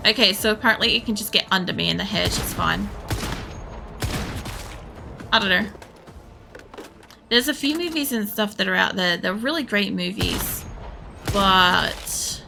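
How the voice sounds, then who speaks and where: A woman with a creaky voice, giving casual, rambling, sweary gameplay commentary while thinking aloud as she streams. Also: medium pitch, noisy background. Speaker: game streamer.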